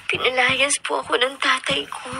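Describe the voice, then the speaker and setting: A woman's voice: sits high, level tone, normal speed, vocal fry, background noise. An actress with a Filipino accent playing a meek, distressed young runaway woman in a radio drama. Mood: timid. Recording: music behind the dialogue.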